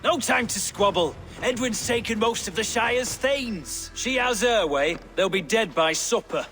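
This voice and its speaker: An actor playing a brash, excitable Saxon thane with fiery temper in a video game. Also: male voice, sits high, a little slow, full character voice. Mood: urgent. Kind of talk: video game cutscene acting. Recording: long hall reverb, music behind.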